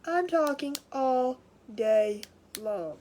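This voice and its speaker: A woman's voice: high voice, expressive, extremely slow, darkish tone, slight background noise. A woman hosting a casual craft livestream from home, sounding nasal and congested, chatty and scattered, with frequent laughs and restarts.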